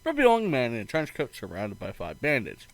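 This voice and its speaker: A man's voice: medium pitch, wildly swooping pitch, brisk, no echo. A man streaming a game, reading its narration aloud expressively, sometimes stumbling and breaking into casual asides.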